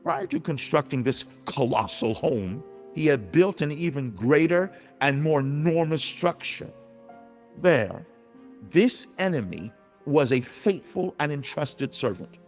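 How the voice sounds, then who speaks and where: Reverberant, dramatic male storyteller with swooping pitch. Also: expressive. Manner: theatrical, emphatic, deliberate phrasing. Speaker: narrator reading own book. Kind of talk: dramatized scripted narration of an allegory. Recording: long reverb, music underneath. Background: music.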